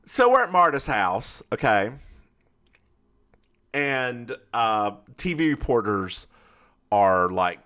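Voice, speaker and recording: A man with a Southern US accent co-hosting a podcast, chatting casually and recapping a film with lively storytelling. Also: medium pitch, slow pace, long pauses. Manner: animated storyteller, slips into voices while recapping. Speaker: podcast co-host. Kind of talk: casual movie recap chat.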